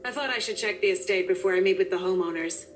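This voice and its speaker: A woman's voice: medium pitch, quick pace, slight background noise, natural voice. An actress voicing a sophisticated, coolly professional female interior designer in a visual novel game. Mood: cool, brisk. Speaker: video game voice actor.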